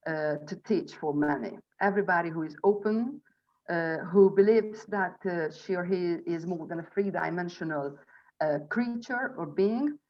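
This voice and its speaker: A woman in her forties speaks with a Hungarian accent in a lowish voice. She presents to an online group as a spiritual coach, choosing her words in halting, deliberate phrases. The sound is dull and lo-fi, as on a video call. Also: a little mellow. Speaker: theta healing instructor and coach presenting an online event. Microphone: video call microphone.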